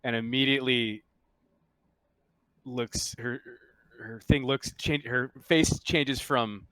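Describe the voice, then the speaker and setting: A man's voice: mid-range voice, steady tone, slow pace, a bit bright, no echo, low-quality recording. Casual male game master. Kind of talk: narrating a tabletop roleplaying game, casual.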